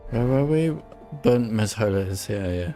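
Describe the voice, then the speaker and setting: A man's voice: fairly low pitch, expressive, moderate pace, warm tone. A man giving casual, offhand live commentary as he plays a video game, half talking to himself. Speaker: game streamer. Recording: game music behind the voice. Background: game music and horse sounds.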